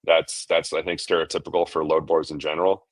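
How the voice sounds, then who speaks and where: A man co-hosts a casual podcast in a relaxed, joking manner, often stopping to restart his sentences. His voice is very low. The recording is dry and close, with no room sound. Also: brisk, slightly rough, dry recording. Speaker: podcast co-host. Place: recently moved to the southern US.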